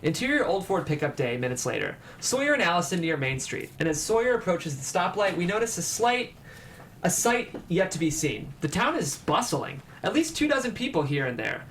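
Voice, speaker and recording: Mid-pitched narrator reading stage directions. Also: sing-song, brisk, fairly bright tone, slight background noise. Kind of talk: scripted narration at a screenplay table read.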